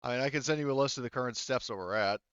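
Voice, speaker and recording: A man's voice: medium pitch, racing, fairly bright tone, clean tone, no room sound. A man acting as game master, explaining and narrating in an informal, conversational way on slightly muffled audio. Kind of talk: casual table talk and narration.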